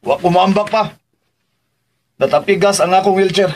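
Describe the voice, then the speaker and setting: A headstrong young master in a radio drama. His voice is high for a man, insistent and bossy, with clear noise in the recording. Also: moderate pace. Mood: defiant. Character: willful, demanding young man in a wheelchair, Dennis.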